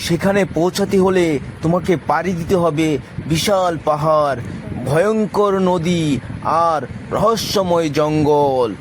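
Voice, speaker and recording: A man's voice: fairly high pitch, unhurried, lightly acted. A man voicing a grave hermit healer in a children's cartoon, solemn and instructive, with noise behind him. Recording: clear outdoor traffic-like background noise.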